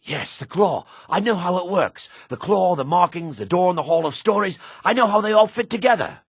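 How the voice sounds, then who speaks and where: An actor playing a jaded, sardonic male dark elf in a fantasy video game, with a husky voice. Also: high voice, expressive, a little fast, dry recording, studio quality, heavily acted. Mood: frantic, pleading. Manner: sardonic, disdainful. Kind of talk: video game character voice acting.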